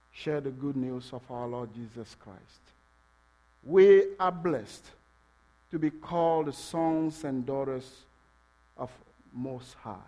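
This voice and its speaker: A man from Togo with a French-English accent preaching a sermon in a church, halting with fillers, then building to passionate emphasis. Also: on the high side. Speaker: pastor. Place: church sanctuary.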